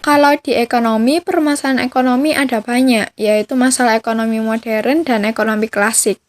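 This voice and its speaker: A woman's voice: on the high side, steady tone, a little fast. A young woman with an Indonesian accent reading a scripted explanation as a student podcast presenter. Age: teenager or young adult.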